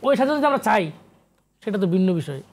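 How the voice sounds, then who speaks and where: A man's voice: high voice, sing-song, fast. A Bangladeshi man speaking as an interview guest, a professor explaining emphatically in a lecturing way and mixing in English academic terms. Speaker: guest, professor and literary researcher. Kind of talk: animated TV interview discussion.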